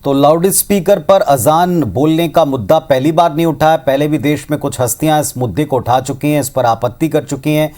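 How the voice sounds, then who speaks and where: A man's voice: fairly high pitch, moderate pace, few pauses, a little mellow. A man with an Indian accent presenting a live debate show as news anchor.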